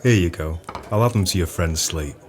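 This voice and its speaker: An actor playing a gloomy, earnest pub landlord in an audio play, speaking in solemn, philosophical, wordy phrases. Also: male voice, deep voice, brisk, lightly acted. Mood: welcoming. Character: gloomy, earnest, widowed publican with a burdened conscience.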